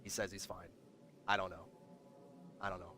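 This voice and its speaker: A man's voice: sits low, little pitch movement, moderate pace, sharp tone, faint music behind. A man hosting a livestream, chatting casually and rambling while reading the chat aloud, congested and nasal. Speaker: livestream host.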